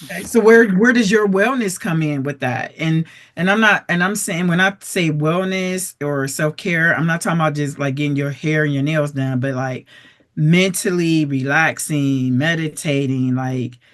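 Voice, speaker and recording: A woman's voice: low-pitched, normal speed. A woman hosting an interview, enthusiastic and affirming, with many encouraging interjections. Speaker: interview host. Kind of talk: casual interview conversation.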